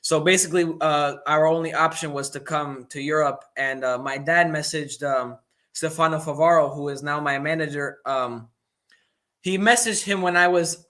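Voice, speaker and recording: Bright-voiced young American man, halting. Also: fairly high pitch. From United States. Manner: halting, lots of "um" and "basically". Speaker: guest, young racer. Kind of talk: casual interview answers.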